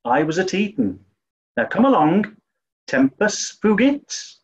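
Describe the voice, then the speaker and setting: A man's voice: sits high, very animated, slow pace, full character voice. A male actor as a dim, snobbish upper-class English toff from a 1940s radio play. He dithers pompously, his voice swooping widely, on a slightly dull recording. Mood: brisk. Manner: flustered, pompous, hesitant. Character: dim-witted, snobbish English gentleman, bumbling amateur sleuth.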